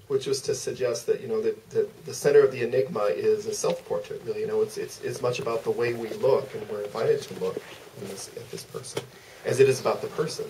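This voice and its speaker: A man's voice: mid-range voice, a little mellow. A man moderating a live theater Q&A, reflecting thoughtfully and hesitantly as he searches for his phrasing. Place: museum theater. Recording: distant, noisy auditorium pickup.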